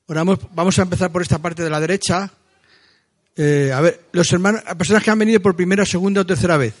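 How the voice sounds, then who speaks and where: Male church host, Spain accent, higher-than-average pitch, conversational and slightly halting, addresses the congregation with questions and announcements. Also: fairly even intonation. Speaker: church meeting leader. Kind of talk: informal church announcements, greeting visitors.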